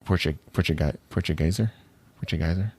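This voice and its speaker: Man, very low voice, dry close sound, casual chatty co-host, laughs easily. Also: brisk, darkish tone, husky, no echo. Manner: chatty, rambling, laughs often. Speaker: podcast co-host. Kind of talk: casual chat about watches.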